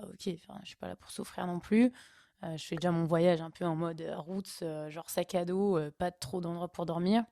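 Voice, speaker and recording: Young woman from northern France, casual storytelling, mellow low-brightness tone, dry close sound. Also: dry recording. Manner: chatty, laughing, animated asides. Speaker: vlogger.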